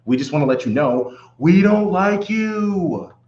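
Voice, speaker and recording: A man chatting casually as a sports fan podcast guest, often halting and rephrasing himself. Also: high-pitched, animated, a little mellow. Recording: roomy, dull audio with clear hum.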